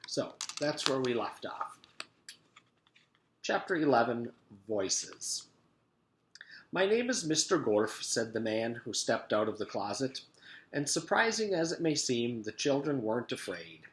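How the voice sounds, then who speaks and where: A man, a teacher, reading a children's book aloud and pausing to explain it to his pupils. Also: medium pitch, reverberant. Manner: expressive, pauses to explain to pupils.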